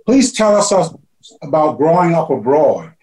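A man co-hosts the program and introduces the guest in measured, formal sentences. His voice sits a little high and carries a roomy echo. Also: sing-song, a little mellow. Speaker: co-host.

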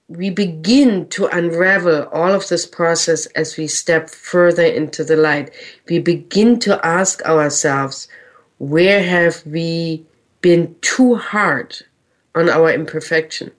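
German-accented woman, low gentle voice. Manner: gentle, earnest, with slow drawn-out phrases. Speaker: spiritual radio host and channel. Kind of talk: reflective spiritual talk.

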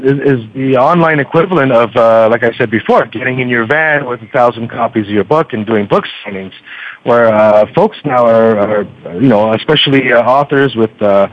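A man talking as an interview guest in a conversational, halting way, often repeating words mid-sentence. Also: medium pitch, no echo. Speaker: podcast guest, book reviewer. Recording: dull, lo-fi radio audio.

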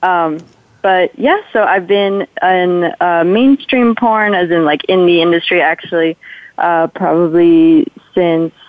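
A woman's voice: fairly low pitch, steady tone, measured pace, no echo. Young woman, slight Polish accent, phone-like line. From Poland. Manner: chatty, rambling, peppered with "like" and "you know". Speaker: interview guest, adult film performer. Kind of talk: casual radio interview chat. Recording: tinny, phone-like radio call-in line.

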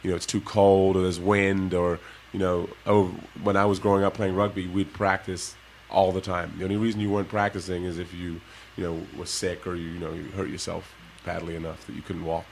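A man with a fairly low voice tells stories in a relaxed, easy way to young listeners. He laughs now and then as he goes. Faint noise sits behind him. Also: fairly quick, hardly any pauses, rough recording. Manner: relaxed storytelling, laughs often. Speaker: guest, former pro basketball player. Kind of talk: casual interview talk to kids.

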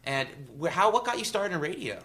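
Bright-voiced encouraging male host. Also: sits high, expressive, fairly quick. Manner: encouraging, eager to praise. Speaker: radio show host. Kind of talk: radio interview.

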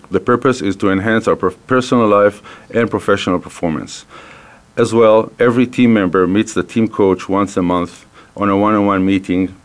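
A man in his fifties from Israel with an Israeli accent, hosting a business interview from a radio studio and talking hesitantly with many fillers and restarts. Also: low-pitched. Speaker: radio show host.

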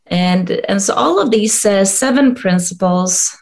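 Guest: middle-aged Swedish-accented woman, slow and gravelly, hesitant answers. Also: mid-range voice. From Sweden. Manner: hesitant, many ums and restarts. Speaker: guest, coach and HR expert. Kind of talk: interview answers.

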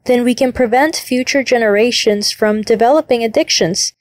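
Young woman, higher voice, dry sound. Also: moderate pace, dry recording. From Chile. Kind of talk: scripted testimonial.

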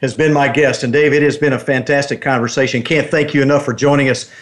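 A man's voice: medium pitch, steady tone, fast, fairly bright tone. A man hosting a talk radio show in an enthusiastic, chatty way.

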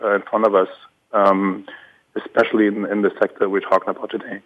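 A hoarse man, calling in as a guest and speaking hesitantly with many ums and restarts. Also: lowish voice, little pitch movement, a little fast. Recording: dull lo-fi call line.

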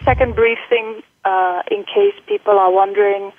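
A woman's voice: fairly high pitch, big pitch swings, normal speed, creaky. A woman in her forties from the Netherlands with a Dutch accent, touched by British and American tones, talking through an interview with many fillers and restarts. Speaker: guest, college faculty member. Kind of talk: interview conversation. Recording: dry, phone-like line.

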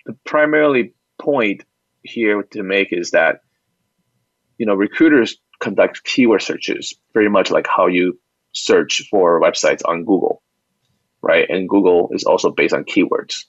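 A man speaking as an interview guest, explaining his product conversationally in a halting way with repeated words. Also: low-pitched, dry recording. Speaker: company founder and CEO, interview guest. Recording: dull lo-fi band-limited audio.

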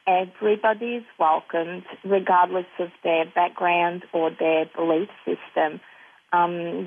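A woman from Serbia, a yoga teacher speaking as a panel guest in a measured way with frequent "um" fillers, heard over a tinny phone line. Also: lowish voice, breathy edge.

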